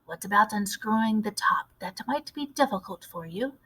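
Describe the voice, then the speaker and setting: This is a loving old grandmother from a storybook, played by a woman reader. She speaks in a measured, bright voice, shrewd and cautious, fondly admonishing and encouraging. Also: medium pitch, expressive, breathy edge.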